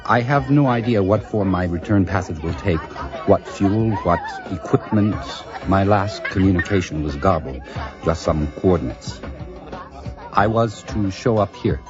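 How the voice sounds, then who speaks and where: A man's voice: deep voice, a little slow. A man playing a polite, bewildered alien visitor in an audio drama, speaking formally and hesitantly. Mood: earnest, puzzled. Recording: dull lo-fi, music and party crowd behind.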